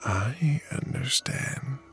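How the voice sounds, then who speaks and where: A man playing a sinister, seductive creature in an audio drama, coaxing in a creaky voice that often sinks to a whisper. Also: medium pitch, animated, fairly bright tone, faint music behind, heavily acted. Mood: soothing, sly. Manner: slow, insinuating, coaxing. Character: sinister, seductive tendrilled creature posing as a friend.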